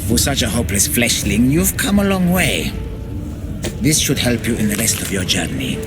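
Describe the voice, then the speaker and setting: A man's voice: mid-range voice, very animated, moderate pace, over music, close to an everyday voice. An actor playing a dry, impatient supernatural ferryman of the dead in a video game, haughty and sardonic. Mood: grudging approval. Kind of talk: video game cutscene acting.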